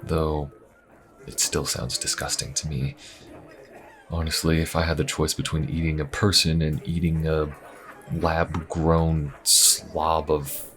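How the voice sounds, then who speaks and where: A man playing a wry, weary Inquisition agent in a fantasy audio roleplay, talking casually and dryly with musing pauses. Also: extremely low pitch, level tone, a bit bright, heavily acted. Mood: musing, mildly disgusted. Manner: casual, dry, musing, with sighs and hesitant pauses. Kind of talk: fantasy audio roleplay, conversational monologue to the listener.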